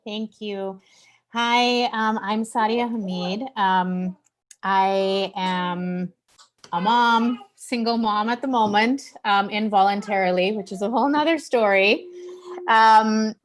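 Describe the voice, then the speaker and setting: A woman's voice: medium pitch, steady tone, slow pace. A woman from Pakistan, a panelist introducing herself in a discussion, talking reflectively with frequent "um"s.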